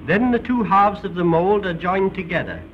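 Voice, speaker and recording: An old, dull-sounding film soundtrack with some noise carries a British man's voice. He narrates like a 1930s documentary presenter, formal and a little theatrical. His delivery swings in a lively way through the phrases. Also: high voice, normal speed.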